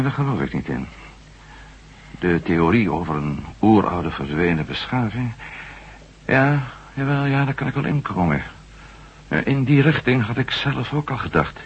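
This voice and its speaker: An actor playing a cautious, skeptical former astronaut turned reporter in a radio drama, earnest and insistent. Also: male voice, mid-range voice, very animated, a little slow, pauses often, husky, full character voice. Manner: hesitant, doubting, then emphatic. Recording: dull lo-fi old radio recording.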